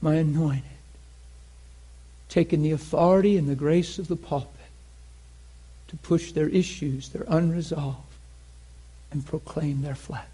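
A man preaching voices God speaking tenderly to him, low, soft and whispery. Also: fairly even intonation, measured pace, long pauses, husky, poor audio. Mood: solemn.

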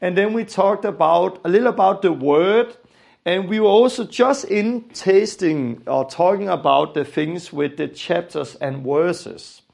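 A man with a Danish accent delivers a religious lesson in a fairly high voice with a lot of vocal fry. He is earnest in his teaching and often repeats phrases to stress them. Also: measured pace, a little mellow. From Denmark. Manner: earnest, repetitive phrasing for emphasis.

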